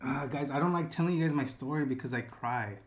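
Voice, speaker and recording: A man's voice: medium pitch, fast. A man in his twenties chatting casually on a live stream, laid-back and calling everyone "bro", reading chat aloud at his desk. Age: 20s. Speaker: livestreamer. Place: dark room with gaming chair and lights.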